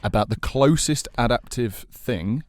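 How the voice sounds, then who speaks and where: A man's voice: medium pitch, expressive, a little slow, a little mellow, no room sound, clean studio sound. A man co-hosting a podcast, arguing his points in a casual, opinionated and emphatic way. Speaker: podcast co-host in a film debate. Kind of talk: casual panel debate.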